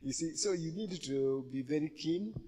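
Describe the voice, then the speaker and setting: A man moderating a church program, speaking slowly with many fillers and asking the audience questions. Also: on the high side. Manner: slow, halting, many fillers. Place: church hall. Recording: long hall reverb.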